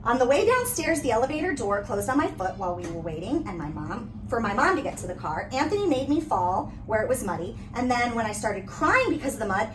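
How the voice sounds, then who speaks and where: A woman reading a picture book aloud in an animated way, with dramatic emphasis on key phrases. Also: fairly high pitch, fairly quick, hardly any pauses. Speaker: read-aloud reader. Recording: noisy, distant microphone.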